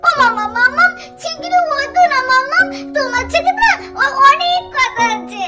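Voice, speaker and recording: Little girl doll, tiny squeaky pitch, childish, pleading, stubborn, cartoon acting, loud background music. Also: animated, normal speed. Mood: urgent, eager. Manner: childish, wheedling, repeats words.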